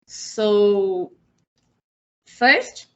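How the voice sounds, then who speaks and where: Slow Brazilian woman on a video call. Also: medium pitch, animated, fairly bright tone. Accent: Brazilian Portuguese. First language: Portuguese. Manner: slow, halting non-native English with restarts. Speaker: presenter, PhD student. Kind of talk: webinar presentation. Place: small indoor room. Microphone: video call, laptop mic. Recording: muffled video call audio.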